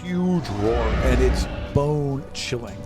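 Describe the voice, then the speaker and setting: A man's voice: mid-range voice, lively intonation, takes it slowly. Man game master, music behind. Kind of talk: casual narration of a tabletop game.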